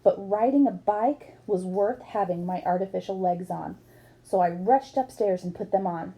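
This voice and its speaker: A woman's voice: medium pitch, normal speed, mellow, poor audio. A woman reading a book aloud expressively, as for children, acting up the dialogue. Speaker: book reader.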